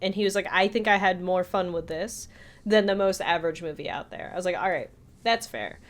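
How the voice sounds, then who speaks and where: A woman's voice: fairly low pitch, lively intonation, a little fast. A woman co-hosting a podcast, talking through a film review in a casual, chatty, hedging way. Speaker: podcast co-host.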